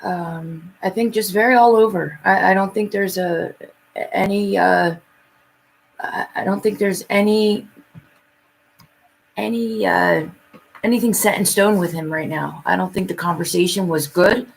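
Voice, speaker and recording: A woman speaking casually and haltingly as a psychic reader in a live chat, often repeating her phrases. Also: medium pitch, creaky, slight background noise, rough recording.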